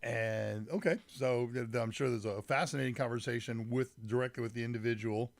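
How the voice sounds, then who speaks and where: A man running a loose, friendly tech livestream, greeting viewers and wandering between topics. A summer cold leaves his voice slightly deep and congested. The sound is dry, with no room to it. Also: fairly even intonation, brisk, creaky, dry recording. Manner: rambling, greets chat viewers by name, frequent fillers. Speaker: livestream host. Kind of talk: casual live-stream chat with viewers. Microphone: mic set some distance away.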